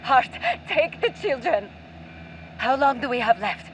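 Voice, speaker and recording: A woman's voice: expressive, unhurried, fairly bright tone. A woman playing a firm, loving mother and pilot in a radio play, earnest and dramatic. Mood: resolute, loving. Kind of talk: audio drama acting. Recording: muffled, faint vehicle rumble.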